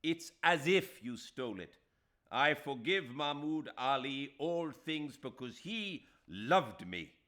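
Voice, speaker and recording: A man's voice: fairly high pitch, very animated, takes it slowly, a bit bright, pitched above the performer's own voice, full character voice. A man voicing a proud, wounded Indian man who bursts into bitter, impassioned declarations in an audiobook. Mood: furious.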